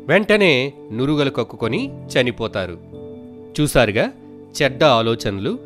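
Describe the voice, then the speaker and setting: Man, children's story narrator, scripted delivery, animated pitch, mid-range voice, faint background music. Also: measured pace.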